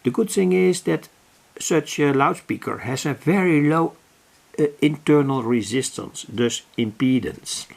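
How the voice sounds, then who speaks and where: Hobby electronics host, gravelly low-key voice, slow and halting. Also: male voice. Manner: slow, deliberate, with many restarts and self-corrections. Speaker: electronics hobbyist explaining a circuit. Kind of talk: slow, halting explanation of a schematic. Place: home workbench.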